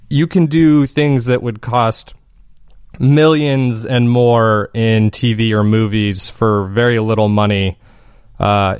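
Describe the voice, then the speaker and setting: A man with a fairly low voice talks as a guest in an interview. He explains his creative work in a thoughtful, casual way. The sound is close and dry. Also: clean tone, no room sound. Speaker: podcast creator being interviewed.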